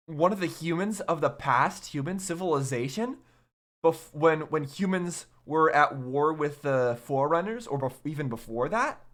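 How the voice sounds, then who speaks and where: A man's voice: fairly high pitch, fairly bright tone. A man giving casual, off-the-cuff reaction commentary as host, thinking aloud in a rambling way and repeating himself.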